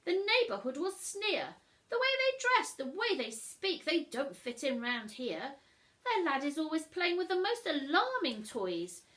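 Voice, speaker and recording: Woman doing a high, prim, disapproving mother. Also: very animated, measured pace, pushed and bright, exaggerated voice. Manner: prim, judgmental. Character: disapproving, snooty parents of a young girl.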